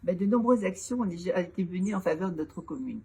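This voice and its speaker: A woman, a local elected official, giving prepared, formal interview answers in a structured, didactic and measured way. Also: fairly low pitch, animated, darkish tone. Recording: clearly noisy background ambience.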